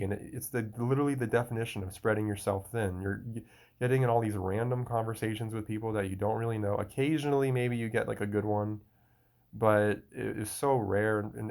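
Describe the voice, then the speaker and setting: Young adult man, lowish voice, loose rambling solo talk with many restarts. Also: a little mellow. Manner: rambling, frequent restarts and fillers. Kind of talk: casual solo monologue.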